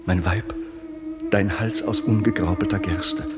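An actor playing a solemn, entranced poet who recites incantatory verse in measured phrases for a radio drama. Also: male voice, lowish voice, huge pitch swings, over loud music. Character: solemn male lover-poet chanting surrealist verse, entranced.

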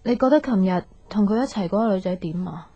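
An actress with a Hong Kong Cantonese accent playing a pensive, soft-hearted young woman unsure in love, reflective and earnest in an intimate radio drama. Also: mid-range voice, lively intonation, fast, breathy edge. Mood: hesitant, probing. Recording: dull radio recording, music underneath.

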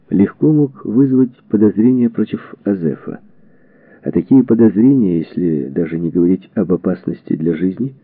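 A man reading a historical book as a scripted narration, in measured, deliberate phrases. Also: lowish voice, breathy edge, no echo. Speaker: audiobook narrator. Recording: narrow phone-like band, radio-like sound.

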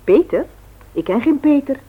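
A woman's voice: high-pitched, expressive, moderate pace. An actress playing a practical, mild housewife and mother in a radio play. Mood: matter-of-fact. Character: matter-of-fact housewife and mother. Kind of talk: Dutch radio play acting. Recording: thin, narrow old radio recording.

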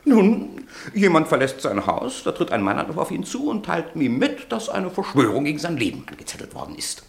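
A man's voice: a little high, wildly swooping pitch, normal speed, creaky, exaggerated voice. An actor playing a suave, proud agency director in a German radio drama, talking in florid, courteous salesman's phrases. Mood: enthusiastic pitch.

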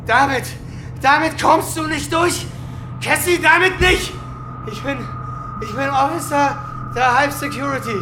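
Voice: high voice, unhurried, roomy sound, noisy background. An actor playing a defiant, desperate security officer locked in a cell in a science-fiction audio drama, urgent and commanding. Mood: weakening, urgent.

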